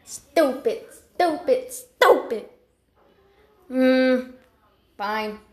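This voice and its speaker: A woman's voice: on the high side, takes it slowly. A woman voicing an awkward, self-deprecating young cat inventor in cartoon voice lines, hesitant and stammering. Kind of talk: fan-made cartoon character voice lines. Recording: roomy, echoing home recording.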